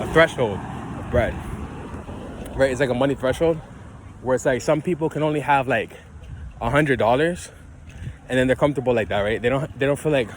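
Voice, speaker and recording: A man's voice: mid-range voice, background noise. A man in his twenties talking off the cuff in a loose, rambling monologue, chuckling often and checking in with the listener. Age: 20s. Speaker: solo talker.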